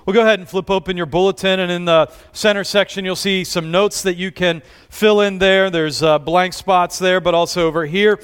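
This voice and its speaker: A man's voice: sits high, steady tone, moderate pace, few pauses, a bit bright, clear, resonant tone. A man preaching a conversational sermon to a church congregation, often asking the audience questions. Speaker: pastor.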